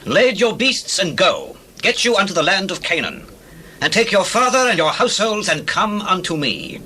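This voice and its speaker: An actor playing a magnanimous, commanding Pharaoh, declaiming imperiously in a dramatized scripture reading. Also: male voice, sits high, very animated, normal speed, bright, forceful tone, heavily acted. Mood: imperious, generous. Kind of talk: dramatized scripture reading, radio-play acting. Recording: noisy old dramatized audio recording.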